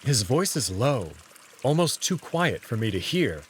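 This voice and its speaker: A man with a medium-pitched voice narrates a visual novel in the first person with lively intonation. Faint noise and trickling water sit behind him. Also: unhurried.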